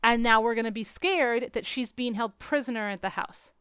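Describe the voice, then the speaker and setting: A woman's voice: on the high side, normal speed, fairly bright tone, clear, resonant tone, dry recording, clean studio sound. A woman chatting casually and opinionatedly about film, emphatic and exasperated, as a podcast co-host. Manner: emphatic, exasperated ranting with acted-out quotes.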